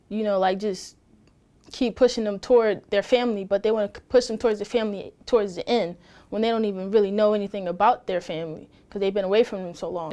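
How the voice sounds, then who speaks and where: A woman's voice: mid-range voice, dark tone. A woman in her early twenties in a documentary interview, talking in a loose, rambling way with many fillers. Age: early 20s. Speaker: former foster youth interviewee.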